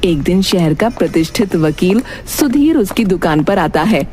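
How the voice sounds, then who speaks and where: A woman tells a scripted cartoon story as narrator. Her delivery is very animated in pitch, and music plays clearly behind her. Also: moderate pace.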